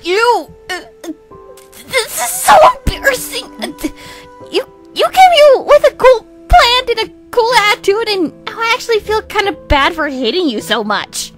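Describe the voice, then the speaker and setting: A woman voicing a crude, grumpy male twin with a soft side in an amateur comic dub, sarcastic and hammy. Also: extremely high pitch, sing-song, normal speed, soft background music, exaggerated voice. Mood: embarrassed. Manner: sarcastic, blunt, sweary, hammy outbursts.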